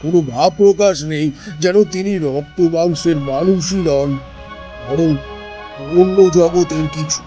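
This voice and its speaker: An actor playing a grave elder brother who recounts an ominous village tale with deliberate, dramatic pauses in a horror audio drama. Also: male voice, on the high side, warm tone, soft background music, lightly acted. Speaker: storyteller character.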